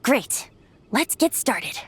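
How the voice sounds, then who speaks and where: A woman's voice: on the high side, sing-song, slow. A woman voicing a youthful male wind god bard in a video game, playful yet earnest, with theatrical, poetic delivery. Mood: gentle, explaining. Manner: lyrical, theatrical, poetic phrasing. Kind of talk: scripted video game voice acting. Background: game music.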